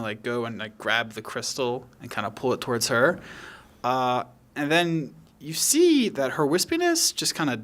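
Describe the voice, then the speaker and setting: A man's voice: medium pitch, fairly bright tone. Man narrating a tabletop game. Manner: loose, conversational, peppered with "like" and "kinda". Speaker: game master.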